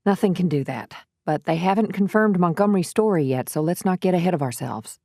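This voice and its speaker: Low-voiced, soothing woman lawyer. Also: animated, dark tone, no echo, clean studio sound. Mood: cautioning. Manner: measured, reassuring. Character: earnest, soothing female defense lawyer. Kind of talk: dramatic audiobook character dialogue.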